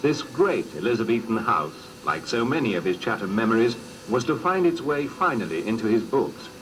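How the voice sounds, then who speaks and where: A man reading scripted documentary narration in a measured, formal storytelling manner, on an old cassette recording. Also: medium pitch, big pitch swings, a little hiss or hum, low-quality recording.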